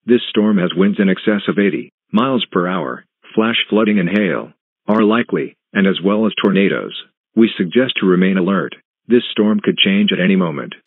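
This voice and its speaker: Deep, phone-band male emergency announcer. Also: lively intonation, normal speed, breathy edge, dry recording. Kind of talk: scripted emergency broadcast announcement. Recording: muffled, narrow phone-like broadcast sound.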